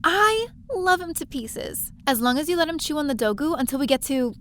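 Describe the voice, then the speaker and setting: Lady acting a chatty young space stowaway, highish voice, eager and breezy, some noise behind her, audio drama. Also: big pitch swings, brisk, a bit bright. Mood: breezy, insistent. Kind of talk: first-person audio drama narration and dialogue.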